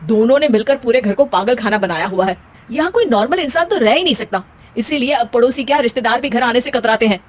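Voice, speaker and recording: An exasperated young husband from a family storybook comedy, voiced by a woman. The pitch is a bit high for the part. He scolds wryly, playing the fed-up peacemaker. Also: lively intonation, fast talker.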